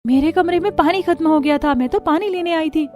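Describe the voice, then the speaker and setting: A woman's voice: very high-pitched, a little fast, dark tone, faint music behind, pitched above the performer's own voice. A woman voicing a nosy, teasing young sister-in-law in a family cartoon, questioning insistently. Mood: accusing, curious. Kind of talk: Hindi family cartoon dialogue.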